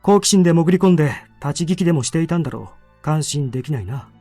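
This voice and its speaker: Young male detective, brusque, superior, rapid, slightly rough voice, visual novel game. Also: fairly high pitch, animated, dark tone, dry recording, soft background music. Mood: scornful. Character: sharp, haughty, disapproving young male detective. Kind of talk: Japanese visual novel acting.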